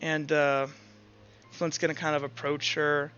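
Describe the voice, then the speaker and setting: A man narrating a tabletop roleplaying game as game master, improvising haltingly as he goes. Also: fairly high pitch, flat delivery, bright, forceful tone. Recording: dull lo-fi audio under music.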